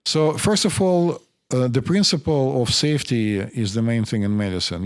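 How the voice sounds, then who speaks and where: A man from Russia with a Russian accent, hosting as a physician and explaining things in a measured way. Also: fairly low pitch, no room sound, studio quality. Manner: explanatory, measured, interjects often. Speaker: physician host. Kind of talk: conversational podcast discussion.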